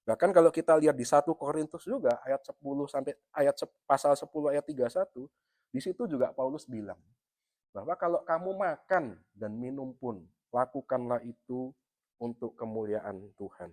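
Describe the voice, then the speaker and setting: A man's voice: mid-range voice, normal speed, a little mellow, no room sound. A middle-aged man from East Java with a Javanese accent, preaching a sermon in an easy, chatty way, ending phrase after phrase with a little tag and speaking straight to the congregation. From East Java, Indonesia. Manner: conversational, tags nearly every phrase with "ya"; addresses the congregation often. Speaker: pastor.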